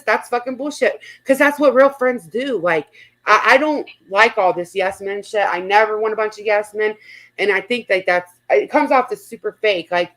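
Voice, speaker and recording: A woman with an average-pitched voice hosts a casual livestream. She talks bluntly and informally, says what she thinks and swears freely. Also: fairly bright tone, rough recording. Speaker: livestream host.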